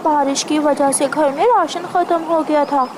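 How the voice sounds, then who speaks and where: A woman's voice: high voice, steady tone, unhurried. A woman voicing a polite, anxious female parrot housewife in a cartoon story, worried and apologetic. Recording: noisy ambient background.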